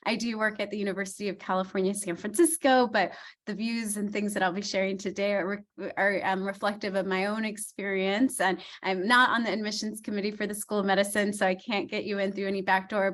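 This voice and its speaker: Woman doctor in her thirties hosting an online talk, mid-pitched, chatty, lots of ums. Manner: warm encouraging, frequent ums. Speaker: obstetrician hosting a panel. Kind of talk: casual online panel discussion.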